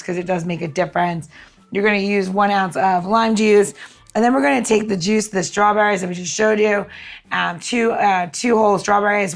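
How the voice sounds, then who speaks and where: A woman's voice: mid-range voice, gravelly. A woman co-hosting a podcast, talking through drinks in a casual how-to chat. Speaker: podcast co-host and cocktail mixer.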